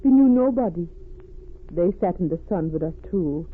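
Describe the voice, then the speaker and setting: An actress playing a distraught refugee woman, speaking haltingly with foreign word order in an old radio drama. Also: fairly low pitch, expressive, husky. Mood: wistful, sorrowful. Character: distraught refugee wife haunted by a smuggler's crime. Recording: tinny, noisy vintage radio recording.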